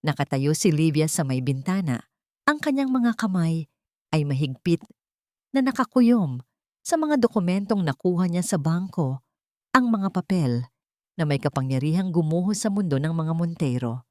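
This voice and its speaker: Filipina lady reading a dramatic audio story, lowish voice, measured and emotive, really dry close sound. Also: big pitch swings, a little mellow, no echo. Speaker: story narrator. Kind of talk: dramatic scripted Tagalog audio story narration.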